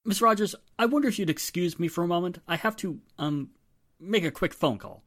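A woman playing a formal, condescending well-to-do gentleman in a radio drama. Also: fairly low pitch, expressive, fairly quick. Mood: polite, evasive. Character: suave, dismissive well-to-do gentleman, nephew of victim.

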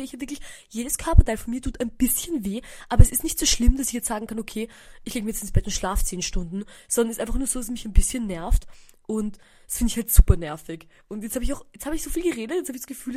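A young woman with an Austrian German accent rattles along quickly in a casual solo podcast. Her nose is blocked from a cold, so her voice sounds congested, and she rambles chattily, dropping in English words here and there. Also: a little high, darkish tone. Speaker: podcast host. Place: indoors at home.

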